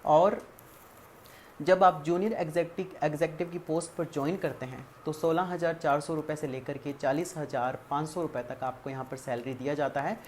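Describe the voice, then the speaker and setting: A woman's voice: deep voice, a little mellow. An Indian woman presenting a career guidance tutorial, explaining methodically point by point and mixing in English terms. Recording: clearly audible background ambient noise.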